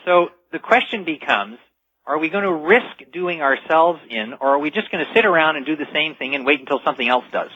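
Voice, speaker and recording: A man's voice: a little high, expressive, fairly quick, slightly rough. Man explaining things on dull-sounding radio. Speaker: talk radio guest, futurist. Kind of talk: conversational radio interview, explaining. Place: radio call-in show.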